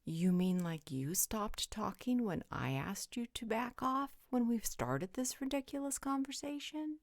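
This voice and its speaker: A woman's voice: on the high side, lively intonation, a little slow, no room sound, studio quality. A woman playing a frank, teasing, worldly supernatural guardian who speaks bluntly in a roleplay audio drama.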